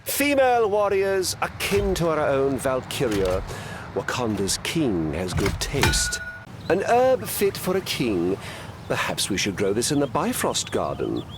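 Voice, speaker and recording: An actor playing a haughty, theatrical trickster god in a video game, with a pompous, mocking manner. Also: male voice, mid-range voice, huge pitch swings, unhurried, background noise. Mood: smug, amused.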